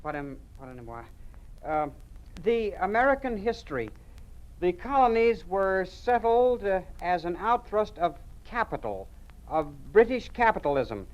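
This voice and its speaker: A performer playing a nervous young male pupil reciting haltingly in an old-time radio drama. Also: medium pitch, big pitch swings. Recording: muffled vintage radio recording.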